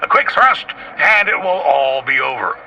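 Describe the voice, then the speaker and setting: An actor playing a brisk, overconfident army captain making an official military report in a radio drama. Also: male voice, medium pitch, sing-song, bright, forceful tone, heavily acted. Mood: complacent, excited. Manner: clipped official, self-assured. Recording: dull radio-like sound, faint noise.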